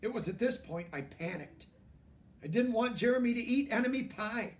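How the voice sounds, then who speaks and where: A man reading a children's book aloud in an expressive way, pausing for emphasis. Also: very high-pitched, recorded from a distance. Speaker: storybook reader. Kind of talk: children's book read-aloud with brief asides.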